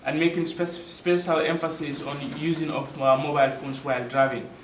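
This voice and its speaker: A man's voice: a little high, fairly even intonation, fairly quick. A man at a conference speaking up in a group discussion, stumbling and repeating words insistently. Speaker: conference delegate. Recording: echoey room from far off, faint noise.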